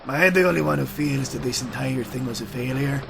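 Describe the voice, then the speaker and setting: An actor plays a world-weary male fighter in a fantasy audio drama. His talk is gruff and blunt, full of gravelly creak, with clear noise rumbling behind him. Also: brisk. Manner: blunt, rough colloquial talk.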